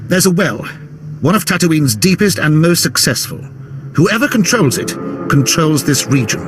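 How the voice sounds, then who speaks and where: An actor playing a pompous, fawning, fussy military officer in a video game, with florid delivery. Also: male voice, fairly high pitch, sing-song, normal speed. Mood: flattering, indignant. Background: loud music.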